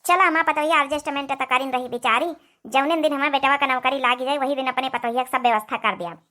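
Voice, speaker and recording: A woman voicing an Indian village family woman in a cartoon comedy drama, with an Awadhi accent and expressive rustic speech. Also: sits high, fast. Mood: bossy, affectionate. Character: village women of an Indian family: mothers-in-law and young daughter-in-law.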